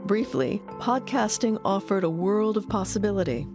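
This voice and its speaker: A woman's voice: medium pitch, animated, normal speed. A woman reading scripted documentary narration as its narrator. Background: music.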